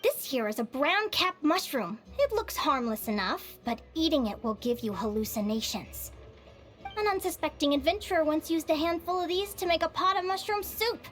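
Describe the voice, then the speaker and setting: A woman playing a cheerful young adventuring advisor in a game, teaching and quizzing playfully in an animated way. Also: high-pitched, moderate pace, soft background music. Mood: perky, teacherly. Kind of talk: animated game voice acting, lecture.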